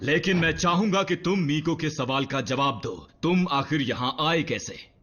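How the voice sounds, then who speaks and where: A skeptical cartoon robot, dry and level-headed. The voice is somewhat high and deliberate, recorded a little dull and lo-fi. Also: male voice, lively intonation, a bit bright, slight background noise. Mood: dry, wary. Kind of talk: animated series dub acting. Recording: dull, lo-fi dub audio.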